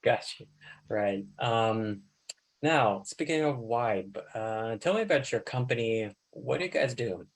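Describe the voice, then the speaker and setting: A man's voice: medium pitch, expressive, a little slow, creaky. A man hosting a podcast, introducing the topic and interviewing a guest. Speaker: podcast host.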